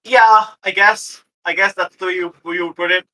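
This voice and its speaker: A man's voice: high voice, fairly even intonation, normal speed, sharp tone, poor audio. A man giving a casual, comic recap of a tabletop game, mock-serious and full of wry understatement. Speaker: tabletop role-playing player.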